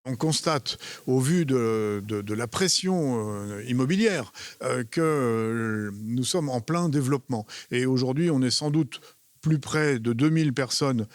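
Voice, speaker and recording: A man's voice: mid-range voice, no room sound. Hesitant Frenchman explaining in an interview. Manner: hesitant, measured, often repeats words while searching for phrasing.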